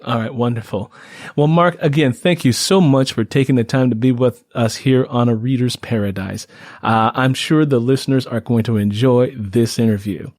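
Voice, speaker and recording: A man's voice: medium pitch, normal speed, darkish tone, dry recording, clean studio sound. A man hosting a radio-style interview show in a conversational way.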